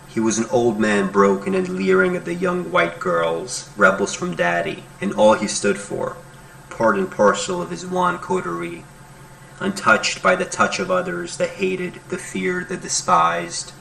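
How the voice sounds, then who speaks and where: A man reading poetry aloud as a dramatic recitation, with deliberate, emphatic phrasing in short bursts. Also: on the low side, gravelly, bright, forceful tone, slight background noise, low-quality recording. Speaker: poetry reader.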